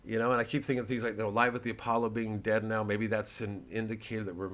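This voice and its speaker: A man with a low, forceful voice who talks straight to his viewers. He rambles with frequent restarts and swears freely. He is quick to run himself down. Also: flat delivery, a little hiss or hum. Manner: rambling, profane and self-deprecating, with many restarts. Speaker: comedian. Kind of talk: rambling talk to camera.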